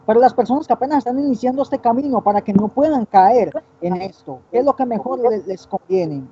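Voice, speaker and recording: Soft, husky young man, muffled sound. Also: very high voice. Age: 20s. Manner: halting, often adds tag questions seeking agreement. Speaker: Bible teacher co-hosting a broadcast. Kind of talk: informal theological discussion. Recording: dull, muffled lo-fi stream.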